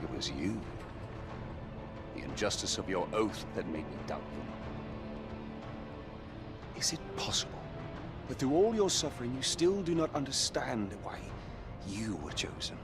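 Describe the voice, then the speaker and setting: A male actor playing a sorrowful, earnest keeper of oaths born of gods in a video game, speaking in a solemn, deliberate, dramatic way. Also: on the low side, very animated. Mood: pained, earnest. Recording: loud music behind the voice. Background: loud music.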